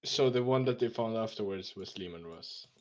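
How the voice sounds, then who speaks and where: A man's voice: fairly low pitch, moderate pace. A man from Sweden with a Swedish accent, hosting a casual roundtable podcast and talking with hesitant fillers.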